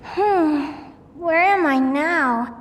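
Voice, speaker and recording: A woman voicing a gentle, anxious young woman in a video game, musing to herself. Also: high-pitched, very slow, a little hiss or hum. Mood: puzzled, weary.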